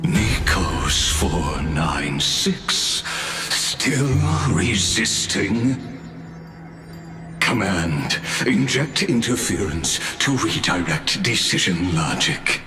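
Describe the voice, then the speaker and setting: An actor voicing a cold, calculating, machine-like villain in a video game. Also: male voice, low-pitched, very animated, drawn-out words, over music, full character voice. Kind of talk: dramatic game voice acting.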